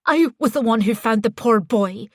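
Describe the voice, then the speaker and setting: Woman putting on a gravelly-voiced spiteful older lady, all smug glee, pitched a bit high, dead-dry sound. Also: normal speed, no echo. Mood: indignant. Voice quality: gravelly smoker's voice. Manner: mocking, gleeful, taunting. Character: spiteful gloating older woman, a grieving mother. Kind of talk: audiobook character voice.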